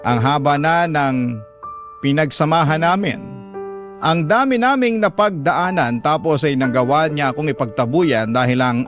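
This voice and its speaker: A man playing a wounded, lovelorn man narrating his heartache for a radio drama, confessional and aggrieved, with a Filipino accent. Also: on the high side. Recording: music clearly under the voice.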